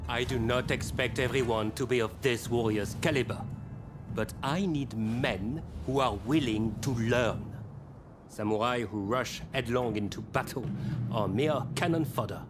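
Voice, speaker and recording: A man performs a shrewd, proud French officer for a video game. He speaks slowly at a middling pitch, haughty and deliberate, with music clearly playing behind him. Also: lightly acted. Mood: stern, demanding.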